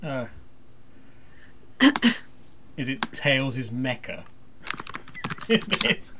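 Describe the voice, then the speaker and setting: A podcast host chatting casually in a halting, rambling way, often restarting sentences, with dry, jokey humour. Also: medium pitch, very animated, pushed and bright, slight background noise. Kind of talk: casual chat.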